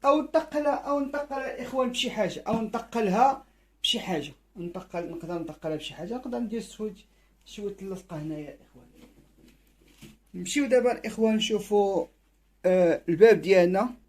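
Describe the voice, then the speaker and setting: Fast-talking Moroccan man. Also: sits high. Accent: Moroccan Arabic. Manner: quick, repetitive, addresses viewers as brothers. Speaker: bird-keeping vlogger. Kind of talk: casual how-to commentary.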